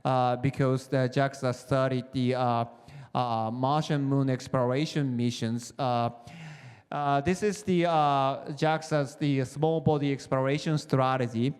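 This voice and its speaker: Halting Japanese-accented man in a hall. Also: medium pitch, fairly even intonation, a little mellow, clean tone. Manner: halting, many "uh" fillers, non-native phrasing. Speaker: planetary geologist presenting on a panel. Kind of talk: short conference presentation. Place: conference hall.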